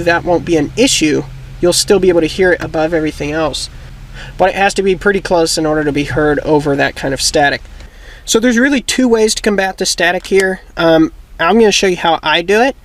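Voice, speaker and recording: A man's voice: high voice, a little hiss or hum. A man hosting a how-to video, explaining things methodically, step by step, in a casual instructional style.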